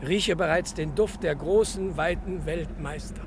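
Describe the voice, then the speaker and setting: An eager junior sleuth in a vintage crime radio spoof. His voice sits high and crackles with fry. He talks in clipped, telegram-like phrases over slight recording noise. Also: unhurried. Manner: clipped telegram-style sentences without pronouns. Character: eager sidekick detective Naumann, devoted to his master. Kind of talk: 1960s German crime radio play parody.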